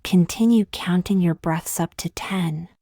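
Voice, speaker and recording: A woman with a slightly low voice guides a beginner meditation. She speaks softly and breathily, at times close to a whisper, in a gentle, soothing manner with long pauses. The sound is dry, with no room echo. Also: creaky. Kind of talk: scripted guided meditation narration.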